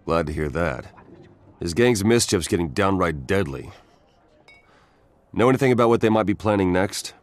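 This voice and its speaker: Deep-voiced, commanding guard captain. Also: male voice, animated, normal speed, long pauses. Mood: businesslike. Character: steady, commanding male guard captain, firm but fair. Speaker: military captain. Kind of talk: video game voice acting. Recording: music behind the voice.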